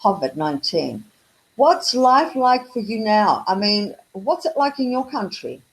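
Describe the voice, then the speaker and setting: A woman's voice: medium pitch, very animated, a little slow, low-quality recording. A woman with an Australian accent, tutoring English over a video call in a patient, encouraging way that draws out questions. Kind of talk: online one-on-one conversation lesson.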